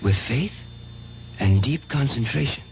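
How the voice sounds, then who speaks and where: A man's voice: mid-range voice, expressive, measured pace, a little mellow. An actor playing an earnest, needy young man who believes he has holy powers, speaking hesitantly with bursts of fervor in a 1970s radio drama. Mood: fervent. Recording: dull, faintly noisy 1970s radio broadcast.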